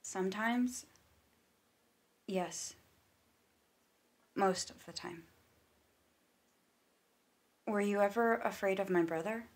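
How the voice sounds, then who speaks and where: An actress playing a guarded, frustrated sister in a stage drama. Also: female voice, mid-range voice, slow pace, pauses often. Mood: brooding, subdued. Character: guarded, frustrated older sister of an ambitious student. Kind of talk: stage-play dialogue acting. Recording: reverberant hall sound.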